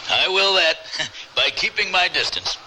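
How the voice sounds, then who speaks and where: An actor playing a kindly, folksy and plainspoken frontier settler in a radio drama. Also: male voice, high-pitched, animated, unhurried, noisy background. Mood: fond farewell. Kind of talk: old-time radio drama acting.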